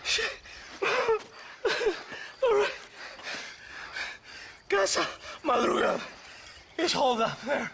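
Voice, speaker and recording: A cornered, spiteful Mexican captain in a video game. The voice is very high and gravelly and drags its words, wheedling and defiant. Faint noise sits behind it. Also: male voice, very animated, very slow, pauses often, bright, forceful tone, heavily acted. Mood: panicked pleading. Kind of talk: video game cutscene acting.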